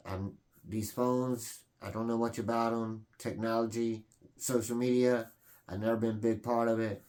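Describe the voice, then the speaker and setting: A man's voice: mid-range voice, level tone, moderate pace, darkish tone. A man in his late forties hosting his own video channel, talking casually and earnestly, rambling with many fillers and restarts. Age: 40s. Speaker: channel host. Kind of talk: casual vlog talk.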